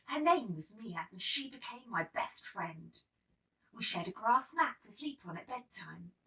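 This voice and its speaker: A woman reading a children's picture book aloud in an expressive, dramatic storytelling manner. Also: medium pitch. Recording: slightly distant microphone.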